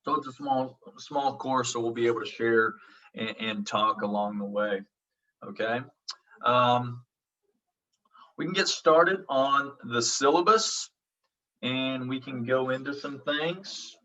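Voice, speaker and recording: A man's voice: mid-range voice, slow, pushed and bright. A man in his thirties with an Oklahoma accent, a college instructor introducing his online course in a reassuring, casual way, full of ums and "okay?" check-ins. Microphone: video call. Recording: dull lo-fi audio from a distance.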